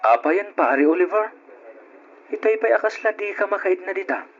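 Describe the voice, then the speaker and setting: An actor with an Ilocano accent playing a candid friend who scolds and advises in an old radio drama. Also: male voice, sits high, lively intonation, moderate pace, faint music behind. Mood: probing. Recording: lo-fi radio drama, faint noise.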